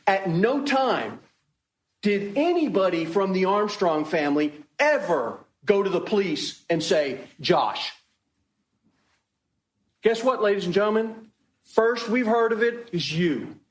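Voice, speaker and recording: A man speaking as a prosecutor to a jury, making an emphatic, deliberate closing argument with repeated phrases, recorded in a courtroom. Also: high voice, animated, reverberant. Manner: emphatic, deliberate, repetitive rhetoric. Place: indoor courtroom.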